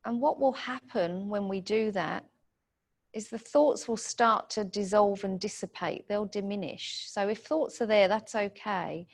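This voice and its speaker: A woman guides a meditation in a mid-pitched voice. She gives soothing, gentle instructions in short, unhurried phrases, leaving room for the listener to follow.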